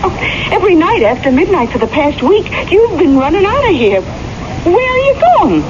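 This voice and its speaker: A woman's voice: very high voice, sing-song, normal speed. A woman playing a nagging, suspicious wife who presses with querulous questions, in 1970s radio drama style. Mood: suspicious, accusing. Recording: muffled vintage radio, noisy background.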